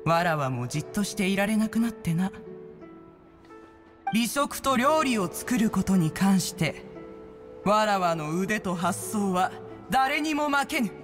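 A woman's voice: mid-range voice, sing-song, a little slow, over music. A woman voicing a proud, ancient female bird immortal in a video game, speaking in an archaic, imperious, pompous way. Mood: proud, grand. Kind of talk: Japanese video game voice acting.

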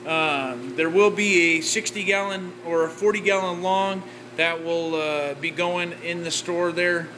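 A man filming a casual walkthrough of his home reef aquarium setup, rambling with lots of filler words as he talks to the camera. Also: on the high side. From Oregon. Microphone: handheld camera, off-screen. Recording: camera mic with noise.

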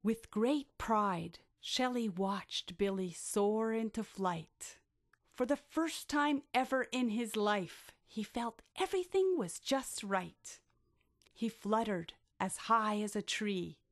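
A woman narrating a rhyming bedtime story for children with expressive, drawn-out delivery. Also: medium pitch, no room sound, clean studio sound.

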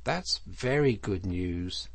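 Man doing a little mole, earnest n well-meaning, highish voice, lilting, storybook. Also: very animated, slow, dry recording, heavily acted. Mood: grave. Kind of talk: storybook character voice.

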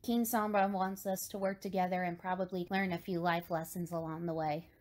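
Woman as a polite, low-voiced young squire. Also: level tone, fairly quick, a little mellow, close to an everyday voice. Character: polite, calm, reserved young female pony squire. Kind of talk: animated fan series voice acting. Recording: music behind the voice.

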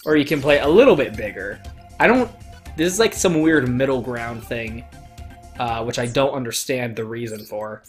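A man's voice: medium pitch, lively intonation, moderate pace. A man hosts a casual let's play in a mid-range voice with music faintly behind him. He is emphatic and opinionated, and he swears freely as he comments. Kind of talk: casual, profane gameplay commentary.

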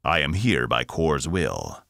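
A man voicing a solemn, formal male alien who speaks slowly and with measured care. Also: a bass voice, sing-song, vocal fry, fairly bright tone, dry recording, clean studio sound, heavily acted.

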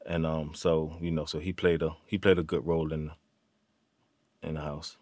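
A man, from Virginia, talking as an interview guest in a halting way full of "um"s and restarts. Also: very deep voice, flat delivery, darkish tone, no echo. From Virginia, USA. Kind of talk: casual interview. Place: small studio room.

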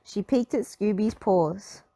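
A woman narrating a children's storybook in an animated read-aloud style. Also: medium pitch, slow pace, no echo.

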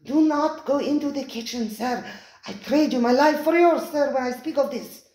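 Reader putting on a desperate high-voiced waiter. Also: moderate pace, a little mellow, reverberant, lightly acted. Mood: pleading. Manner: desperate, insistent warnings. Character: frightened male waiter, urgent and pleading.

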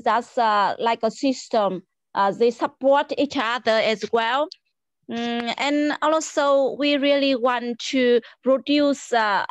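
Slow, polite Vietnamese-accented woman. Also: fairly high pitch, dry recording. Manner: hesitant, many fillers, polite. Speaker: guest. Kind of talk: casual video call chat. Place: small room indoors. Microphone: video call. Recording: dull lo-fi call audio.

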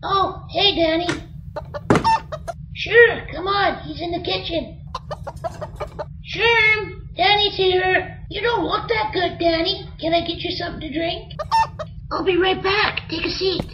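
A woman's voice: very high-pitched, steady tone, takes it slowly, pauses often, muffled recording, background noise. A woman playing a childlike, naive little animal companion in an old-time radio drama, chatty and literal-minded. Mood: friendly, helpful. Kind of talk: old-time radio comedy-horror acting.

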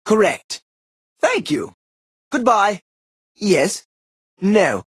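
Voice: medium pitch, big pitch swings, extremely slow, husky, no echo, clean studio sound, full character voice. An actor voicing a bossy, earnest boy who leads kid operatives in a cartoon video game, clipped, commanding and melodramatic. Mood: crisp, formal.

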